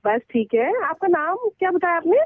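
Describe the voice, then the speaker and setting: A woman with a high, very animated voice takes a prank phone call. She sounds narrow and thin through the telephone, with a dry sound. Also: normal speed, dry recording. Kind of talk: phone conversation.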